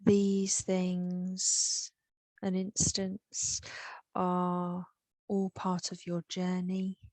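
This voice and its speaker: A woman with an English accent leading a guided meditation, soothing and unhurried, with long pauses. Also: lowish voice, completely flat delivery, darkish tone, no echo.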